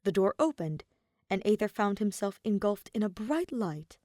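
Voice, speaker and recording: A woman reading scripted fiction as an expressive story narrator. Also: mid-range voice, moderate pace, no echo, clean studio sound. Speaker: podfic narrator.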